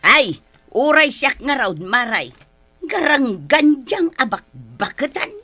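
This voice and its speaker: Woman acting a very high, animated gossipy wife. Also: slow, dry recording, exaggerated voice. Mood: indignant, gossipy. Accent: Ilocano. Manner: exclamatory, complaining. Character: gossipy, grumbling jealous village wife, confidante. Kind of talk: broad comic drama acting.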